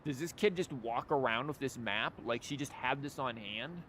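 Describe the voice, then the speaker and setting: A male livestreamer with a medium-pitched voice, chatting casually over a game. His talk is wry and rambling, and a noisy rumble sits behind it. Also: normal speed, a bit bright. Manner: wry, rambling, mock-quoting. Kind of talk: casual live game commentary.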